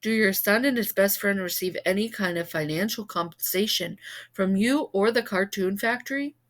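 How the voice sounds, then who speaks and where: A woman's voice: medium pitch, normal speed, lightly acted. A woman voicing a brash male news anchorman who asks punchy, goading questions. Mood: incredulous. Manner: punchy, taunting interview questions.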